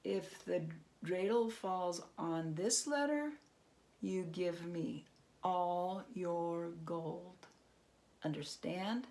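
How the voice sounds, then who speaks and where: A sly storybook trickster, a man played by a woman, talks in an unhurried, gravelly-edged voice. He toys with his listener as if he has all the time in the world. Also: lowish voice, a little mellow. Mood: instructive, sly. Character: sly, unhurried man outwitting a goblin at dreidel. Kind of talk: storybook character voice.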